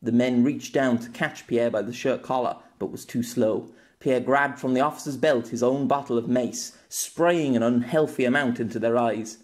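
A man's voice: medium pitch, moderate pace, a little mellow. Man narrating an action story dramatically. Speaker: audiobook narrator and author.

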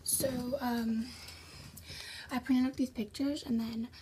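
Teen vlogger, bright high voice, slightly hoarse, chatty, phone-at-arm's-length sound with faint room noise. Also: female voice, level tone, takes it slowly, low-quality recording. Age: teens. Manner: chatty, lots of fillers, upbeat. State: slightly hoarse, losing her voice. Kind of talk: casual vlog. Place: house, indoors and on a back deck. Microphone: phone camera at arm's length.

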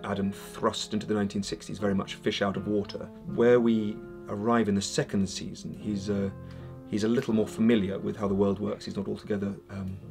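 A man in his thirties, an actor, giving measured answers in an interview. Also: on the low side, little pitch movement, creaky. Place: studio room, indoors. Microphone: lapel mic. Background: music.

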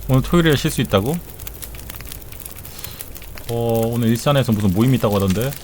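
A man's voice: mid-range voice, brisk, darkish tone, a little hiss or hum. A man in his forties, a game streamer, chatting casually with viewers in a joking, rambling way with blunt swearing. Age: 40s. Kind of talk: casual live-stream chat while gaming.

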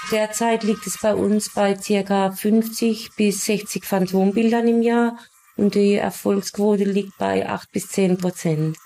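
A woman's voice: mid-range voice, flat delivery, measured pace, creaky, mellow, slight background noise. A woman from Germany, a police sketch artist, explaining her work in an interview.

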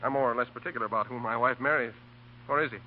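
An actor playing a sarcastic, scheming newspaper editor in a 1940s radio comedy, with a pushy, theatrical, wisecracking delivery. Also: male voice, medium pitch, steady tone, rapid-fire, close to an everyday voice. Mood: sly, mocking. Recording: dull, noisy 1940 radio broadcast.

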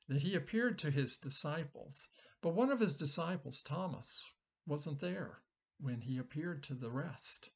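A man in his sixties, a pastor, reading scripture and then giving a gentle children's sermon from a small room at home, recorded on a webcam at his desk. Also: mid-range voice. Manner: slow, gentle storytelling aimed at children.

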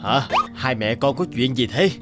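Man doing easygoing cartoon dad, middle-aged, normal pitch, bit of music under. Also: lively intonation, normal speed, fairly bright tone, natural voice. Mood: casual, concerned. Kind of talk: animated folk-tale dubbing.

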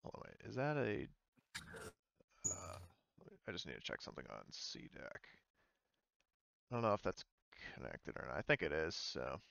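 A man running an online tabletop game as game master, casual and halting as he thinks aloud. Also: low-pitched, big pitch swings, gravelly, fairly bright tone, muffled recording. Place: indoors, online voice call.

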